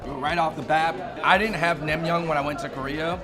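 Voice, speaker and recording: A man hosting a casual food vlog, chatty and upbeat, slightly hoarse, exclaiming over dishes as he eats in restaurants and on a city street. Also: on the high side, steady tone, normal speed, crowd noise.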